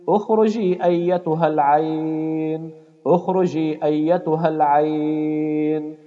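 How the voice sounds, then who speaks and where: A man reciting scripture in a slow melodic chant and repeating healing invocations. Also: a little high. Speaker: Quran reciter performing ruqya. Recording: dull audio with long reverb.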